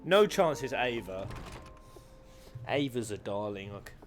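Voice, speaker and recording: Guy reacting to game cutscenes, super animated, playful, kinda theatrical mock suspicion. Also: on the low side, measured pace, music in the background. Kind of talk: casual reaction commentary.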